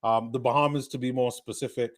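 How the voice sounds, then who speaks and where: A man with a British accent blended with North American, hosting a casual live watch-party stream, chatting with viewers and getting excited on big plays. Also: medium pitch, fast talker. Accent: British mixed with North American. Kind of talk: live casual game commentary and chat.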